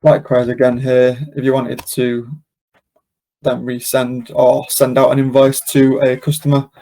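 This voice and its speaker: A man presenting a live software demo and question session, explaining step by step in an informal, hesitant way. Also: medium pitch, completely flat delivery, measured pace, gravelly. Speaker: webinar presenter and software demonstrator.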